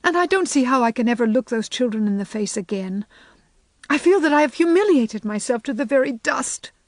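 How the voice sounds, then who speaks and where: A woman's voice: a little high, expressive, fairly quick, dry recording, pitched above the performer's own voice, lightly acted. A woman voicing an emotional young schoolteacher in an audiobook, speaking in a sobbing, pleading way. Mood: tearful. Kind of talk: storybook character reading.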